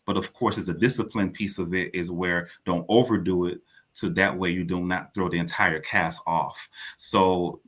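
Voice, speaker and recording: A man's voice: low voice, fairly bright tone. A man speaking as a playwright guest in a radio interview, explaining earnestly and often repeating his phrases.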